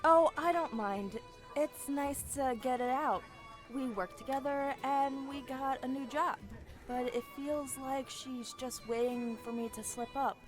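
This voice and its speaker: Casting: actress as young female elf assassin, high sweet voice, disarming charm, audio fantasy roleplay with music underneath. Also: measured pace. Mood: troubled, confiding. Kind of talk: fantasy audio drama acting.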